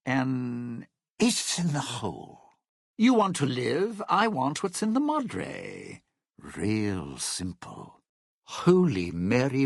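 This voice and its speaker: An actor voicing a suave, cynical, scheming lounge-singer conman in a video game, with a raspy, gravelly voice and sardonic, old-time showbiz patter. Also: on the low side, dry recording, studio quality, heavily acted. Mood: sly, calculating, then wry. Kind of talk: video game character voice lines.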